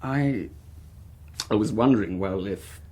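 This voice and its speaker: An actor playing a naive junior politician with an upper-class English accent in a radio drama, hesitant, bashful and over-keen. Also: male voice, on the low side, slow pace, mellow, husky.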